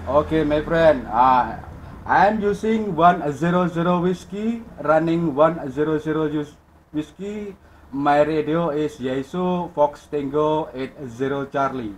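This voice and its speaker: A man with an Indonesian accent speaking as an amateur radio operator, friendly and halting, repeating phrases and spelling out callsigns phonetically. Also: fairly high pitch, a little hiss or hum, low-quality recording.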